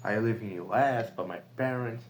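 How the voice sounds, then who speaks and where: A man's voice: fairly low pitch, normal speed. Brazilian man rambling with a Portuguese accent. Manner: rambling, lots of "like" fillers and swearing. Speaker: remote sales rep. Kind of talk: casual vlog monologue.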